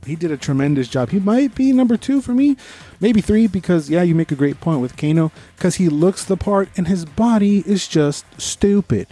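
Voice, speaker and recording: A man's voice: high voice, animated, moderate pace, mellow, faint music behind. A man co-hosting a podcast, chatting casually about movies with slangy, enthusiastic fan talk. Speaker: podcast host. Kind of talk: casual movie review chat.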